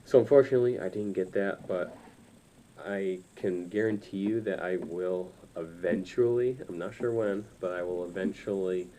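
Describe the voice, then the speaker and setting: A man in his twenties with a very low, dark and relaxed voice talks informally to camera as a reviewer. He rambles a little and feels his way through his points. The recording is made indoors with faint noise behind him. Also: normal speed, low-quality recording. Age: 20s. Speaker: reviewer of audio gear. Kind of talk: casual review chat to camera. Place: small room indoors.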